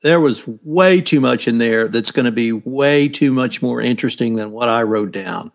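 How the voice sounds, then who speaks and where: A man's voice: mid-range voice, normal speed, creaky. A man in his sixties hosting a podcast interview and asking questions in a friendly, conversational way. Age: 60s. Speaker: podcast host.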